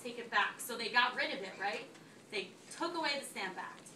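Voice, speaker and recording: A woman teacher reading aloud to a class in an instructive way, pausing to check understanding with questions. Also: fairly high pitch, animated, pushed and bright. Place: school classroom. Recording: echoey classroom, distant mic, faint noise.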